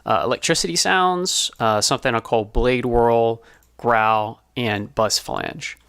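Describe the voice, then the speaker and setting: A man presenting an informal behind-the-scenes explainer as a sound designer, speaking in a casual, halting way. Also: medium pitch, fairly bright tone, smooth, dry recording, clean studio sound.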